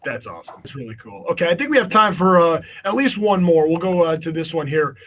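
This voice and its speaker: Man, notably high voice, casual interviewer, encouraging, event host. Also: lively intonation, fast talker, fairly bright tone, slight background noise. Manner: encouraging, affirming. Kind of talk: casual upbeat hosting, reading audience questions.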